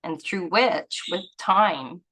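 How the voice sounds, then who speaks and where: Slow-spoken, musing woman with a lowish voice. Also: lively intonation, a bit bright, husky, poor audio. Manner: informal, musing, effusive. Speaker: reader and study group leader. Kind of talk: reading aloud with casual, rambling personal commentary.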